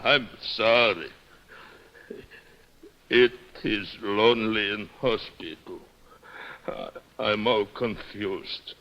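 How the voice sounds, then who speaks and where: Slow-spoken, distraught foreign husband. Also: male voice, lowish voice, pushed and bright, lo-fi sound, exaggerated voice. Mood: pleading, confused. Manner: halting broken English. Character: distraught foreign husband, confused, jealous and menacing. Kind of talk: old-time radio detective drama acting.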